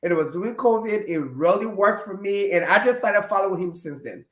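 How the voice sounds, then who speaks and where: A man's voice: sits high, lively intonation, brisk, fairly bright tone. A male talk show host with a nasal, congested voice, opening the show in an upbeat, repetitive way. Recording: roomy echo.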